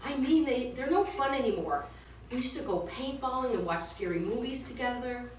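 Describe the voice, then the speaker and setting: A restless, playful young wife acted by a woman in a stage comedy, with a fairly high voice. She sounds distant in an echoey room, with slight noise. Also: lively intonation, moderate pace. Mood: restless complaint. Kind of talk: amateur stage comedy acting. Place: small theatre, distant microphone. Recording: echoey stage recording from far off, noisy.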